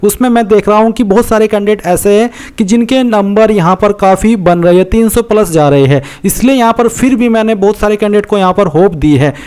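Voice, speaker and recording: Indian guy doing a youtube style explainer, pretty high clear voice, chatty, repeats himself, drops in english words. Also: a little mellow. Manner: conversational, repetitive explaining with English words mixed in. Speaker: YouTube host giving exam information. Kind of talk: informal explainer addressed to viewers.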